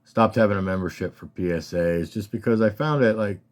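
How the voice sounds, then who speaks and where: Low, slightly scratchy man, casual and halting. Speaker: collector hosting a card showcase. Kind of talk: casual rambling show-and-tell talk.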